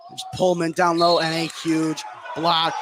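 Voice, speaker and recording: A man with a slightly high voice does live radio play-by-play of a basketball game, following the ball from player to player. Loud gym noise sits clearly behind his commentary. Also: monotone, a little slow. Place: indoor high school basketball gym, courtside. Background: loud gym ambience.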